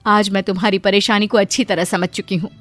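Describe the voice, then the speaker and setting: A woman's voice: medium pitch, steady tone, fast talker, faint music behind, close to an everyday voice. A woman voicing a wealthy lady employer who is humbled and grateful, speaking earnestly in a cartoon moral story. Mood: remorseful, earnest.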